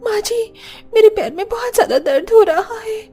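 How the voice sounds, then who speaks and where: A woman's voice: very high voice, expressive, moderate pace, dark tone, voice pushed up. A woman voicing a meek, suffering young pregnant daughter-in-law in a cartoon, pleading and plaintive. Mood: pained, pleading.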